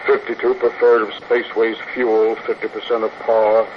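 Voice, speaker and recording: A man's voice: fairly low pitch, level tone, moderate pace, lightly acted. An actor playing a timid, deferential male secretary with a formal, hesitant manner in a 1950s radio drama. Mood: dutiful, hesitant. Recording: muffled, noisy old radio recording.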